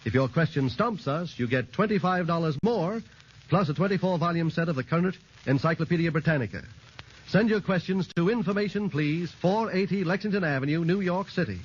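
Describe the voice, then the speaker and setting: A male radio announcer delivering a scripted sponsor pitch in 1940s style on a vintage recording. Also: brisk, slightly rough, highs cut off.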